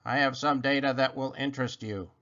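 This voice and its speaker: A man voicing a calm, logical, polite robot with a formal, deliberate manner, for a children's storybook. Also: mid-range voice, lo-fi sound, natural voice. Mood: calm, helpful.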